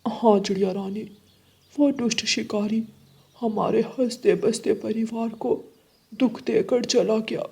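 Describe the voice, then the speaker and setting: A grieving father pigeon from a cartoon, voiced by a man. The voice is gravelly and husky with a dark tone, subdued and courteous. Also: high-pitched, measured pace, full character voice. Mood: sorrowful. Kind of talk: Hindi animated story dialogue.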